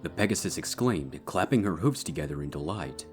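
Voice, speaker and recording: A male narrator with a deep voice reads a scripted story. Quiet instrumental music sits behind the narration. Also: expressive, fairly quick, husky. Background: soft instrumental guitar music.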